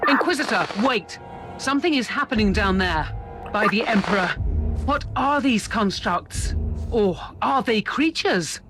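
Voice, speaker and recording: A woman's voice: a little high, animated, measured pace, music in the background. A woman playing an alert, cautious and earnest starship captain in a dramatic sci-fi video game. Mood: alarmed, urgent.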